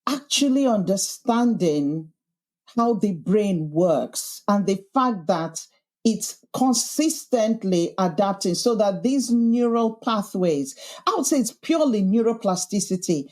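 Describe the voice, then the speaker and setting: A woman's voice: medium pitch, lively intonation, slow, darkish tone. Woman, Yorkshire accent, podcast host as guest, thoughtful and emphatic. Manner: earnest, thoughtful, with frequent restarts and emphatic lists. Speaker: podcast host being interviewed. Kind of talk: reflective conversational interview.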